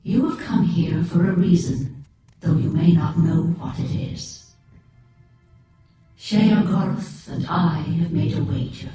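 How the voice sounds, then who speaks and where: Casting: a mysterious, commanding goddess, very dark and unhurried, far off in echo. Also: female voice, sits low, soft background music. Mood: imperious. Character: imperious, mysterious female daedric prince. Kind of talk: scripted fantasy video game dialogue. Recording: heavy echo, distant.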